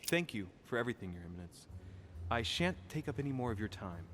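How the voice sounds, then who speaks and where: An animated, earnest young gentleman. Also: medium pitch, fast, soft background music. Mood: grateful, courteous. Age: young adult. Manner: formal, courteous. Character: polite, earnest young gentleman investigating a mystery. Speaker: video game protagonist. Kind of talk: dramatic game dialogue.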